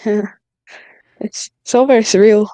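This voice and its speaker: A woman with a mellow, even voice chats casually as a guest. She sounds modest and hesitant, with very little pitch movement, in a dry recording. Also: measured pace, dry recording. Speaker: scriptwriter guest. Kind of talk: casual chat.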